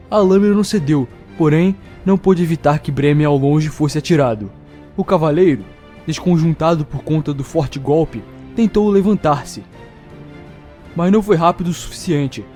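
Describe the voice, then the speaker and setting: A man with a Brazilian accent narrating a fantasy story in a dramatic, scripted storytelling style. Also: a little high. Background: music.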